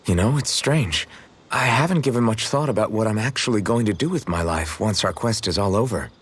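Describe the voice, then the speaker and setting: A man's voice: fairly low pitch, expressive, fairly quick, husky. A man playing a modest, good-natured young swordsman with a casual, unpretentious way of talking, in video game dialogue. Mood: unsure, self-deprecating. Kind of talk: English-language video game character dialogue.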